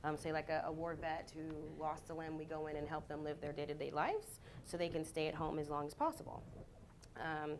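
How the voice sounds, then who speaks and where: A woman's voice: low voice, a little fast, a little hiss or hum. A woman on a panel telling her story as a home care worker, conversational with many ums. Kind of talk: panel self-introduction.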